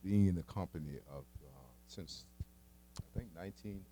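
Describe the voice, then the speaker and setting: A man leading a poetry workshop, introducing a colleague and himself in a halting way with many fillers. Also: sits low, background noise. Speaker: workshop leader.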